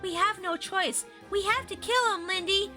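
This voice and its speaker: A woman's voice: extremely high pitch, moderate pace, sharp tone, soft background music, voice pushed up. A woman voicing a scared, insistent young girl in a storybook, pleading and exclaiming with urgency. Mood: frantic.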